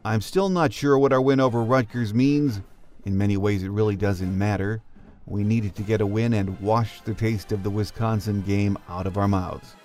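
A man's voice: lowish voice, steady tone, normal speed, darkish tone, soft background music. A man hosting a sports podcast, delivering scripted commentary as the show's presenter. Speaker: podcast host.

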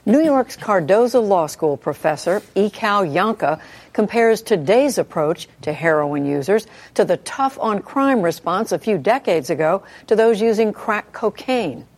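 A woman delivers a scripted introduction in the role of a news presenter. Her pitch sits in the middle for a woman, and she reads from prepared copy. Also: expressive, a little slow, a little mellow.

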